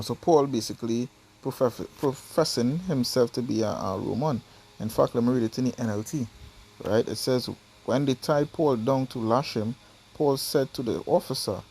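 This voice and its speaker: A man's voice: mid-range voice, a little mellow. A man from Trinidad with a Trinidadian accent, reading Bible verses aloud and explaining them in a casual teaching style that keeps checking in with "right?" and "you understand?".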